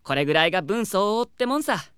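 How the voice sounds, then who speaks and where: A woman's voice: mid-range voice, very animated, fast, fairly bright tone, clean tone, dry recording, clean studio sound. A woman voicing a proud, haughty boy who dreams of being an idol, in a Japanese audio drama with curt boyish speech. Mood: gruff, softened. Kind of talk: Japanese anime-style audio drama acting.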